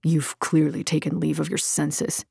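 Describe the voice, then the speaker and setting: A woman reading the part of a blunt, irritable young male warrior in an audiobook, with a curt and scornful manner and a slightly lowered voice. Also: level tone, unhurried, creaky, mellow, husky, no echo, clean studio sound, full character voice.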